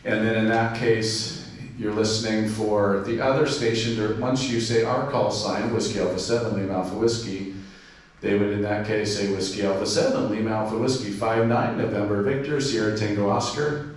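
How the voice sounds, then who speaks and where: A man's voice: fairly low pitch, steady tone, moderate pace. A man giving an informal club presentation, explaining steps and spelling call signs in radio phonetics, with frequent fillers. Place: club meeting room. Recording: very echoey room, distant mic, faint noise.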